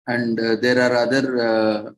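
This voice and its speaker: A man presenting, reading prepared text with off-the-cuff asides, halting and stumbling over words at times. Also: medium pitch, completely flat delivery.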